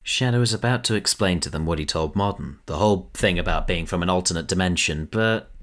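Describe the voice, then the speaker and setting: A man narrating a fan audio drama in a steady storytelling manner. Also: sits low, fairly quick. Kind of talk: scripted narration.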